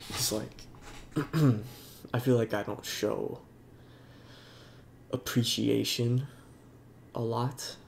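Congested young guy talking slow and unsure, gravelly voice, bit of hiss. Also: medium pitch, flat delivery. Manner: halting, soft-spoken, many fillers. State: congested, sniffling. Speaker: YouTuber. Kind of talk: casual talk over gameplay.

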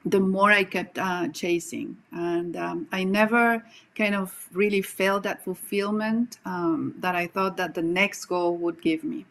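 A woman in her forties from Colombia with a Colombian accent, speaking earnestly and reflectively as a coach guest on a video call from a home room, pausing often with "um". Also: fairly low pitch, flat delivery, creaky, a bit bright. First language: Spanish. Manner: earnest, reflective, many "um" pauses. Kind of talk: casual video-call interview.

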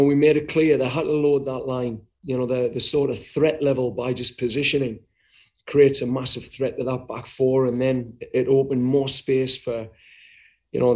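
A middle-aged man answering as head coach in a post-match press conference, talking frankly and often repeating words while he thinks, over a video call. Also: medium pitch. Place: indoors before a sponsor backdrop.

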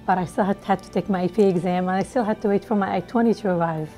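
A woman's voice: medium pitch, steady tone, fast, mellow, soft background music. A middle-aged woman from Liberia, recounting her life story in a seated studio interview with a conversational manner and frequent fillers. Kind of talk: personal storytelling. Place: studio with dark backdrop.

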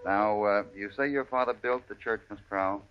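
An actor playing a calm, courteous cowboy hero with an American accent and a measured, folksy manner in an old radio western. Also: male voice, lowish voice, clear, resonant tone, soft background music, lightly acted. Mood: curious, polite. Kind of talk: 1940s-50s radio western acting. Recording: dull vintage radio recording.

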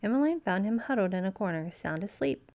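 A woman narrating a children's storybook in an expressive, scripted read-aloud style. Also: medium pitch, brisk.

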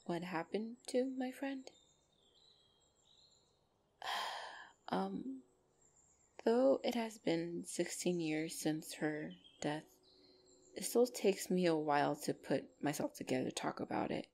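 A loving orc girlfriend, played by a woman, speaks in an intimate ASMR roleplay. Her voice sits a little low, with a crackly fry. She is tender and hesitant, bashful yet protective of her sweetheart. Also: takes it slowly, long pauses, mellow. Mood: subdued, tender. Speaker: voice actor in audio roleplay.

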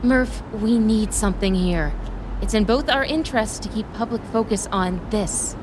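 A woman playing a pushy, driven crime reporter in an audio drama, brisk and insistent. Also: mid-range voice, background noise, lightly acted. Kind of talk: naturalistic audio drama acting.

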